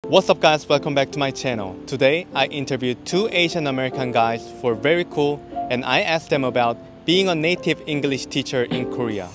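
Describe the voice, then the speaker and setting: A man's voice: medium pitch, fairly quick, hardly any pauses, over music. A young man hosting a street interview outdoors, asking simple, friendly questions. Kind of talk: vlog intro and interview questions. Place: outdoors in a park. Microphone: handheld mic.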